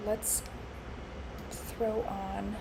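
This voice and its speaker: A woman live-streaming her futures trading, congested and hoarse from allergies, thinking aloud with lots of fillers and restarts. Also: mid-range voice, level tone, extremely slow, background noise. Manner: musing, with frequent fillers and restarts.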